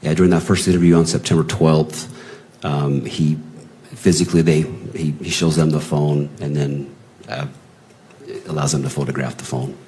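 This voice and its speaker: American male police witness, extremely low voice, factual and halting, courtroom audio with faint noise. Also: flat delivery, dark tone, rough recording. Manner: halting in long answers, brief factual replies. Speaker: law enforcement witness testifying. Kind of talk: courtroom testimony.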